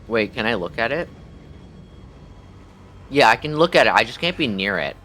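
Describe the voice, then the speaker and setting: A man doing casual, profane, jokey livestream commentary while playing a game, thinking out loud. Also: mid-range voice, sing-song, moderate pace, rough recording.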